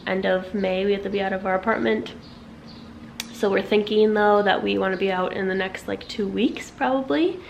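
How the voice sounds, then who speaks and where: A young woman from the with a stuffy, raspy voice from a cold, chatting casually to camera at home with lots of fillers. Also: medium pitch, a little hiss or hum. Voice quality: raspy from illness. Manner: chatty, rambling with many fillers. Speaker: vlogger. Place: apartment at home, indoors.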